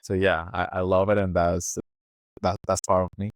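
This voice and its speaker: A man in his thirties with a Puerto Rican Spanish accent, his voice sitting very low. He answers interview questions casually, stopping and restarting often with many fillers. The sound is dry and close to the mic. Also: level tone, moderate pace, dry recording. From born in Venezuela, raised in Puerto Rico. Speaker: guest, environmental engineer.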